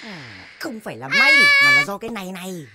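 A woman's voice: medium pitch, huge pitch swings, fairly quick, heavy background noise. A woman voicing a cocky, scheming young male mouse in a cartoon, boastful and teasing. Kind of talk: cartoon dubbing. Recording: music behind the voice.

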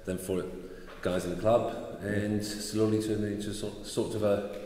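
A man in his thirties from Italy, speaking English with an Italian accent touched by Scottish, relaxed as an interview guest. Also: on the low side, unhurried. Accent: Italian with a Scottish tinge. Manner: relaxed, gesturing while he talks. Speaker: sword maker, interview guest. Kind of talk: casual interview answers. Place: large bare hall, indoors. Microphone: far camera. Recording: echoey hall with faint noise.

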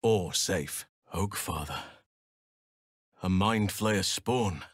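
An actor playing a gentle, solemn, protective elf druid in a fantasy video game, speaking in measured, earnest, formal phrases. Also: male voice, sits low, breathy edge, no echo, studio quality, heavily acted.

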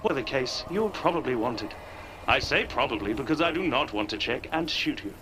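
A man voicing a literal-minded assassin robot in a video game, precise, formal and deadpan in wording. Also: medium pitch, a little fast, noisy background, close to an everyday voice. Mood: matter-of-fact.

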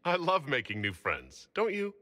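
An actor playing a calm, courteous commander in fantasy video game dialogue. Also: male voice, fairly high pitch, a little slow, no room sound, studio quality, heavily acted. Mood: polite, measured.

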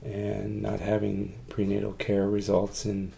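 A man in his forties reflects in a documentary interview, pausing and searching for words. His voice is low and dark, with a heavy creak. There is slight noise in the room behind him. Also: monotone. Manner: halting, hesitant delivery with long pauses. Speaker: adoptive parent, interviewee. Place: room at home, indoors.

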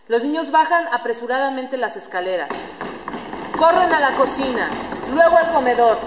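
A woman's voice: high voice, expressive, moderate pace. A woman reading scripted storytelling narration for a radio play. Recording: echoey hall with room noise.

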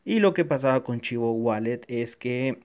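A man's voice: medium pitch, lively intonation, moderate pace, darkish tone, clean tone, lo-fi sound. A man from Honduras giving a casual, hesitant review of a wallet app over a screen recording, with many fillers and restarts. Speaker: tech reviewer.

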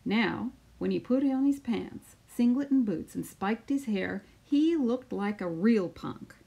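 A woman in her fifties, a teacher, speaking to pupils and reading a children's picture book aloud with deliberate, emphatic phrasing. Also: a little high, expressive, gravelly, dark tone, husky, rough recording. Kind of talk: children's storybook read-aloud.

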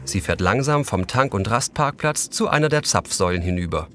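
A man with a fairly low voice serves as the narrator of an audio play for children. He reads the scripted story as a storyteller. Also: expressive, a little fast, background noise.

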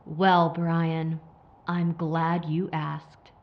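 Actress as a sly, low-voiced female blackmailer. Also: level tone, dull audio, heavily acted. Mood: taunting menace. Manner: taunting, mock-sympathetic, unhurried menace. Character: sly, menacing woman corporate operative blackmailing a soldier. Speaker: villain. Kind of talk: audio drama acting.

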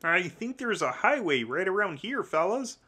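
Cartoon stoner frog: throaty, nasal, fretful, high and very animated, roomy. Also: male voice, moderate pace, heavily acted. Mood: laid-back. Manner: slangy, fretful. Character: jittery, laid-back stoner talking frog, male. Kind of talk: cartoon comic dub. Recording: roomy echo, faint music behind.

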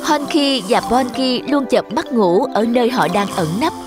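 Female narrator, scripted children's storytelling, slightly high pitch, tinkly music behind. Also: animated, a little slow. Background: jingly children's music.

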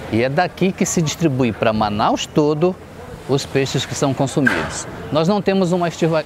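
A middle-aged man guiding viewers through a riverside fish market, explaining its history in a measured way. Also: mid-range voice. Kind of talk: on-camera tour explanation. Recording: outdoor street noise behind.

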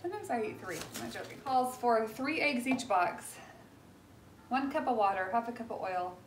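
A woman's voice: a little high, lively intonation, normal speed, noisy background. A woman in her thirties chatting casually to a handheld phone camera in her car, in a shop and at home, rambling and upbeat. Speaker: family vlogger. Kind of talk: casual vlog chat.

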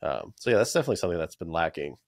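A man's voice: low-pitched, sing-song, racing, darkish tone, no room sound, studio quality. A man in his thirties hosting a casual podcast interview, talking with many fillers and restarts. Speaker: podcast host. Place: small room with collectible shelves. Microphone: studio mic with headphones.

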